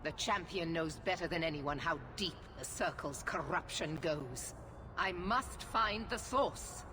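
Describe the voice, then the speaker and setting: An actress playing a stern, zealous middle-aged knight commander in a fantasy game, speaking with formal, commanding severity. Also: female voice, deep voice, expressive, measured pace, noisy background. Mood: fervent, resolute. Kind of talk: dramatic fantasy game acting.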